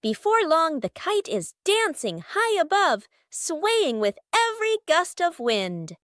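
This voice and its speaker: A woman reading a scripted children's story as narrator, with expressive storybook delivery. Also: very high-pitched, takes it slowly, dry recording.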